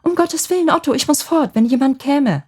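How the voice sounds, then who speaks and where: A woman's voice: high voice, lively intonation, fairly quick, a little mellow, soft background music, pitched above the performer's own voice. A woman voicing an anxious, tender young girl in love with coy, breathless pleading in a storybook tale.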